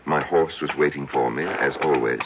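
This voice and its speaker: Very deep, gravelly, halting broken man. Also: background noise. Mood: wistful, earnest. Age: middle-aged. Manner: halting, hesitant, repeating himself. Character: petty, self-loathing, lonely, betrayed husband confessing to his psychiatrist. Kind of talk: radio drama acting. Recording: tinny, band-limited old radio broadcast.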